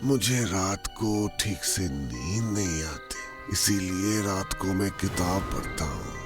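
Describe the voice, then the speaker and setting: Guy doing creepy older uncle for a cartoon, low slow voice, bossy and mysterious. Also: expressive, over music. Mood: calm. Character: mysterious, insistent older village uncle in a stormbound house. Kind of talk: acted Hindi cartoon horror dialogue.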